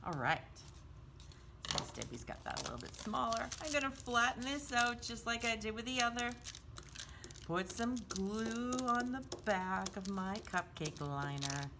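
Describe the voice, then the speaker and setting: A woman's voice: on the high side, expressive, measured pace, background noise. A woman hosting a children's storytime craft, explaining each step in simple, sing-song, child-directed language.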